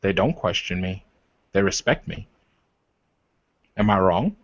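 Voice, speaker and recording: A man's voice: low-pitched, lively intonation, measured pace, no echo. A man playing a proud, protective werewolf leader in improvised roleplay, arguing insistently with repeated phrases and pointed questions. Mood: proud, challenging. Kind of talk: improvised in-character roleplay dialogue. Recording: dull, lo-fi voice recording.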